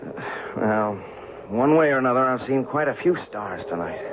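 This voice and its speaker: A man's voice: medium pitch, lively intonation, moderate pace, lightly acted. A man acting as a wry, reflective witness who narrates a strange tale in an old-time radio drama. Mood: guarded, wry. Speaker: narrator and lead actor. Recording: muffled, narrow-band noisy old radio recording.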